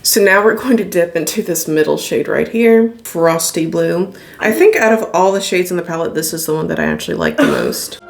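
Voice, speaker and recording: A young woman talks casually to camera while doing her makeup, her voice a little raspy from a recent illness. She is candid and chatty, laughing easily. Recorded in a small home studio from a desk camera. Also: lowish voice, big pitch swings, a little fast, few pauses. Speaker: beauty vlogger. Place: indoor home studio room.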